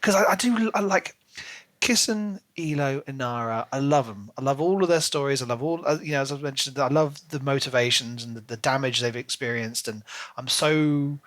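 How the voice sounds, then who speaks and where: A man's voice: medium pitch, normal speed, fairly bright tone. A man co-hosting a podcast, talking casually and enthusiastically about books with emphatic delivery. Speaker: podcast co-host. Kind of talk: casual book discussion chat.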